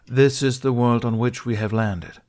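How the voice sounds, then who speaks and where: A man voicing a calm, commanding male Jedi master from a science fiction story, speaking in a formal, measured way. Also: mid-range voice, pitched above the performer's own voice, natural voice. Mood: grave, formal. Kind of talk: acted audiobook dialogue in a science fiction story.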